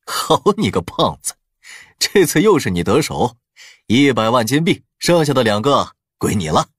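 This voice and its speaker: A man's voice: medium pitch, sing-song, moderate pace, husky, no echo. A man playing a suave, sly, teasing aristocrat in an audio drama, talking playfully. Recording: dry, music behind the voice.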